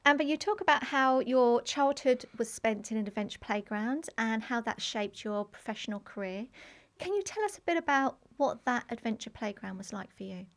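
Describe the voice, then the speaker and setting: A woman hosting an education podcast, introducing her guest and asking questions in a measured way. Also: on the high side, expressive. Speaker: podcast host, education lead.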